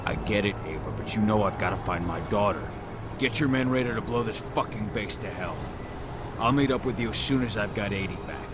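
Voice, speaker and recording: Very deep-voiced man as a gruff warrior. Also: brisk, heavy background noise, natural voice. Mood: blunt, determined. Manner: blunt, terse, grim. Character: gruff determined male werewolf warrior, a father, blunt. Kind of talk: video game cutscene acting. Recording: loud cinematic music under the voice.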